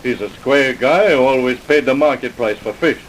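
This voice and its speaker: Casting: male actor as curt, grudging fisherman suspect, vintage radio serial; medium pitch, faint hiss. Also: moderate pace, natural voice. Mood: defensive, plain. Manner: curt, colloquial. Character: grudging, plain-spoken male fisherman held for questioning. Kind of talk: old-time radio crime drama acting. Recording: hissy vintage radio recording.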